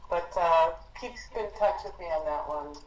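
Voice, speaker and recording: A woman speaking as a professor leading an informal team discussion on a conference call, in a hesitant, thoughtful, halting manner. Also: fairly low pitch, roomy sound, poor audio.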